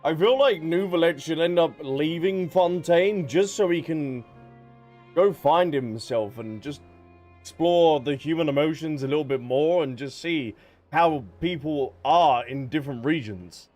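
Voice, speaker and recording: A man's voice: on the high side, measured pace, darkish tone, soft background music. A man doing casual livestream game commentary in a cheeky, reactive way with playful banter. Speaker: game streamer.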